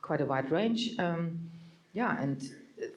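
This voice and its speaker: German-accented man, higher voice. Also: expressive, slow, highs cut off. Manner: jokey, conversational, hesitant fillers. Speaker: venture capital partner, panelist. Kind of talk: panel discussion.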